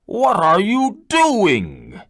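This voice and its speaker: A man voicing a huge, angry beast in a storybook reading, slow and threatening. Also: medium pitch, sing-song, no room sound, clean studio sound, pitched above the performer's own voice, heavily acted. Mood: furious.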